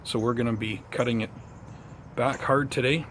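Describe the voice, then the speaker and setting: Male gardening vlogger, mid-pitched, casual and unhurried, slightly hesitant, recorded outdoors with faint ambient noise. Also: steady tone, a bit bright. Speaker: host of a bonsai gardening vlog. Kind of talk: casual vlog chat to viewers.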